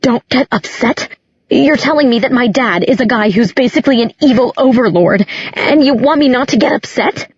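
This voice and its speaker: Distraught teenage girl played by a female narrator, bitter and incredulous, slightly husky, dry close sound, audiobook drama. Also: medium pitch, moderate pace, no room sound, muffled recording, pitched above the performer's own voice, natural voice. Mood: indignant. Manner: incredulous, emotional, emphatic.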